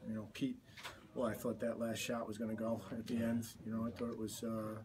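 A man in his fifties, a head coach giving a postgame interview in a small office, talking haltingly with many fillers and restarts. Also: fairly low pitch, completely flat delivery. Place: small cluttered office, indoors. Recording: distant mic with background noise.

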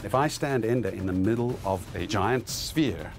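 Middle-aged male director, lowish pitch, animated storyteller in interview, light music bed. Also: moderate pace. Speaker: film director. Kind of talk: behind-the-scenes interview.

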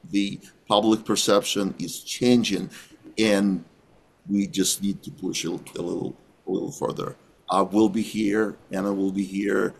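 A middle-aged man co-hosting a podcast over a video call, talking in an earnest, measured way from a small room. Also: deep voice, vocal fry, husky. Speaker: podcast co-host. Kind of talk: casual video-call chat.

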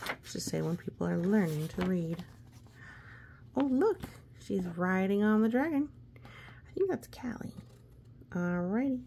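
Lady reading a picture book to little kids, unhurried, playful, bit of fry, noisy recording. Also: medium pitch, animated, warm tone. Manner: playful, exclamatory, talking as if to a young child. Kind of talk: children's story read-aloud with chatty asides.

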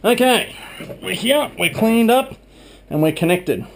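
A man's voice: sits high, animated, measured pace, vocal fry. A man in his twenties giving a casual, chatty hands-on narration as a video blogger, recorded on a handheld camera in a small room at home. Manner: informal, chatty. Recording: faint background noise.

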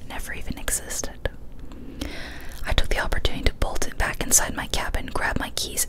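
A young adult woman reads a horror story as ASMR in a breathy whisper, careful and deliberate, close to a studio microphone. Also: low-quality recording. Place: small cozy indoor room. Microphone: close studio condenser mic on a boom arm.

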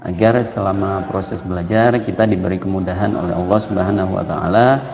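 Man, lowish voice, slow measured teaching, addressing children, muffled reverberant room recording. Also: vocal fry, a little mellow. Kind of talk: children's religious history lesson.

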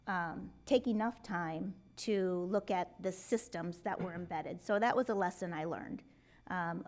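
Middle-aged woman at a panel mic, gravelly edge, earnest, keeps restarting her sentences. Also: on the low side. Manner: earnest, expressive with hand gestures, many restarts. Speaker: superintendent candidate. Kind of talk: public job interview answers. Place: hearing room with rows of empty seats. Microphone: table microphone.

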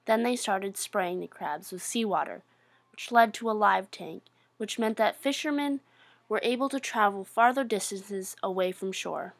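A young woman reads scripted documentary narration. Her voice sits at a middle pitch and she keeps a steady, read-aloud delivery. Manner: reading from a script. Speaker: student narrator.

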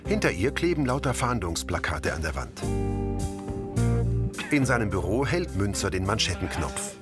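A man's voice: on the low side, very animated, normal speed, loud music behind the voice. A man reading scripted audio description narration in the present tense.

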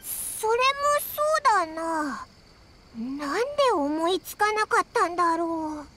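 A woman voicing a small, excitable, easily scared childlike fairy in an anime game, with squeaky, boyish speech. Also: unhurried, full character voice. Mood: puzzled. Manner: cute rough boyish speech, expressive. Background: music.

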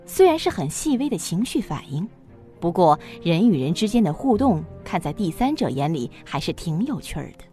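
A woman narrating a suspense audio drama in an expressive storytelling manner. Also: lowish voice, moderate pace, faint music behind.

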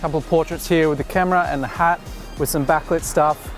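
A man in his thirties directing a beach photo shoot, relaxed and chatty, with many restarts and fillers, recorded outdoors at the shore. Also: a little high, fairly quick. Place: open sandy beach at sunset, outdoors. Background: music.

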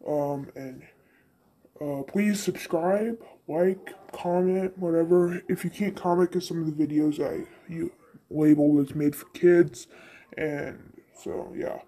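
A video creator chatting casually and haltingly through an unboxing, with the voice run through a voice changer. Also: medium pitch, fairly even intonation, dark tone. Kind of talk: casual chat. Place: indoors at a tabletop.

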